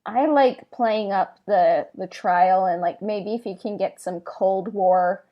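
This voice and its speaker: Woman, mid-pitched, informal podcast co-host, working out ideas as she talks. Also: slow pace, lo-fi sound. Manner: informal, tentative, thinks aloud in fragments. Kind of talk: casual podcast discussion.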